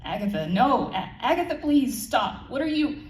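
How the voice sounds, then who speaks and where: A woman's voice: sits high, big pitch swings, moderate pace, pitched above the performer's own voice. A woman voicing a terrified young woman, pleading and exclaiming in panic, in an echoey room. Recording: roomy, distant mic, faint noise.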